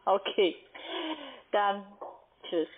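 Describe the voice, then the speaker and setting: A woman talks on a narrow phone line as a call-centre agent. Her delivery is very slow and halting, as she searches for words in a language not her own. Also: mid-range voice, fairly even intonation. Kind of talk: phone conversation.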